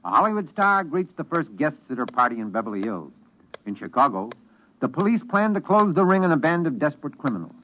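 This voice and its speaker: A man's voice: a little high, sing-song, fast. A man announcing on 1950s radio in a dramatic, scene-setting scripted narration. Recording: muffled, noisy old radio recording.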